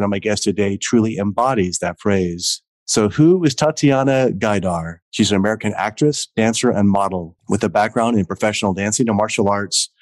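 A man hosting an interview podcast, introducing his guest in an energetic, upbeat way. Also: lowish voice, no room sound. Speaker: podcast host.

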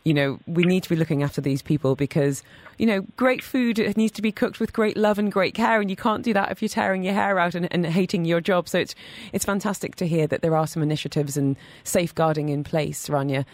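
A woman's voice: on the low side, a little fast, dry recording. A woman hosting a radio food show, interviewing guests in an enthusiastic, chatty way in a studio.

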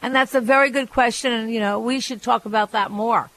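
A woman's voice: on the high side, steady tone, fairly quick, fairly bright tone, no echo, rough recording. Chatty woman radio host, dry studio sound. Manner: chatty, frank, restarts sentences. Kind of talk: advice call-in radio talk.

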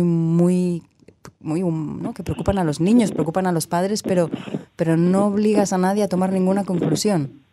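A woman's voice: fairly low pitch, noisy background. A woman interviewing an author in a casual chat, encouraging and curious. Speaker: interviewer, host.

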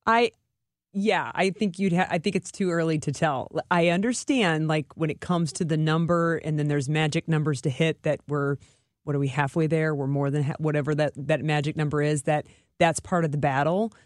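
Woman sports radio cohost, chatty and informal, thinks out loud, close dry mic. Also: sits low, fairly even intonation, normal speed, dry recording. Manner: informal, thinks aloud in loose phrases. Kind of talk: conversational soccer discussion.

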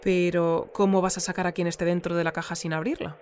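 A woman's voice: fairly low pitch, flat delivery, fast talker, darkish tone, close to an everyday voice. A woman playing an earnest, easily flustered news bulletin presenter in an audio fiction. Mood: questioning. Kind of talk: fiction podcast news broadcast parody.

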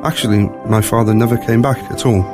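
A man's voice: medium pitch, fairly even intonation, quick pace, over loud music. A man with a faint Scottish accent telling his personal story as an interview guest, in a reflective, conversational way. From born in Scotland, moved to South Africa at three.

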